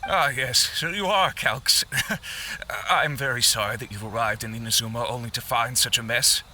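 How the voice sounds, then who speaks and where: An earnest, courteous official in a video game. His voice is mid-pitched and strikingly bright and forward, formal and deferential, with faint ambient noise behind it. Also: normal speed. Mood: apologetic. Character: earnest, apologetic, polite male publishing official.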